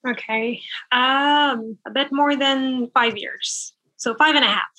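A woman's voice: sits high, fairly even intonation, slow pace, sharp tone, low-quality recording. A young woman from Bangladesh, a nurse, chatting in an interview with lots of fillers and laughter. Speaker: nurse guest. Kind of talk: casual interview chat.

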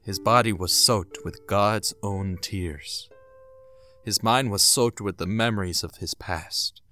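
A man's voice: lowish voice, slow pace, sharp tone. A man narrating a scripted audio drama in a dramatic, theatrical storytelling manner. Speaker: narrator and announcer. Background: music.

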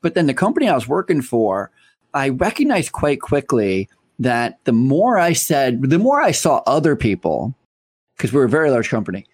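A man's voice: medium pitch, huge pitch swings, normal speed, husky. A man hosting an interview podcast, talking with energy and stressing his points with repeated phrases. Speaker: podcast host. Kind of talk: conversational interview.

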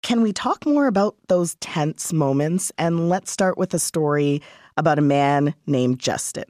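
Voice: medium pitch, expressive, unhurried, a little mellow, dry recording, studio quality. A host guiding an interview in a conversational, presenterly style.

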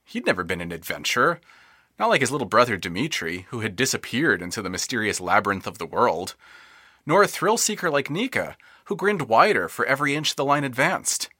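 Guy narrating an audio drama, lively pitch, kinda wry storyteller vibe. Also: brisk, no echo, clean studio sound.

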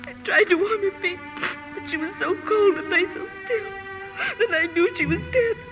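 A woman's voice: very high-pitched, normal speed, dull audio. A woman acting a shy, sweet young girl in a 1950s radio drama, speaking in a hesitant, tremulous way. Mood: distressed, tearful. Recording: vintage radio recording with music.

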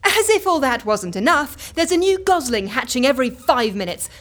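Frazzled office woman for comedy audio drama: high voice, exclamatory, barely holding chaos together. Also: big pitch swings, moderate pace. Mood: overwhelmed ranting. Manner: flustered, exclamatory, emphatic. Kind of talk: audio comedy fiction acting.

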